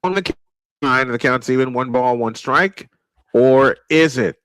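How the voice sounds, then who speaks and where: A man calling a baseball game live, unhurried, filling the pauses between pitches. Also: fairly bright tone, slight background noise. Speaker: play-by-play baseball announcer. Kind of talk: live sports commentary.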